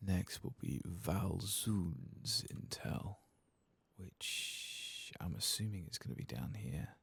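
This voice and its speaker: A man giving soft-spoken ASMR commentary on a video game, in a breathy voice that often drops to a whisper. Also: sits low, animated, takes it slowly, dry recording.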